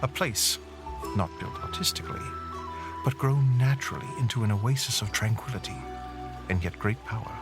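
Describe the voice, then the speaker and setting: A man with a fairly low voice narrates a fantasy story. He is measured and dramatic, reading storybook prose over clearly audible music. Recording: music under the voice.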